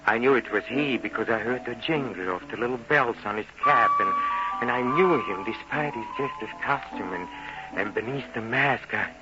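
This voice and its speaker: An actor playing a vengeful, gloating murderer in an old-time radio horror monologue, with halting, repetitive, theatrical delivery over music on a dull vintage recording. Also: male voice, medium pitch, lightly acted. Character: vengeful, tormented male murderer, gloating and obsessed.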